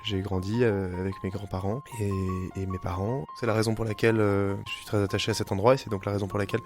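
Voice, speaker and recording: Young man, interviewee, halting speech, deep voice, flat delivery. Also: over music. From France. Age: young adult. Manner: hesitant, many fillers and restarts. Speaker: interviewee, company founder. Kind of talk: casual interview. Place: family house in the countryside.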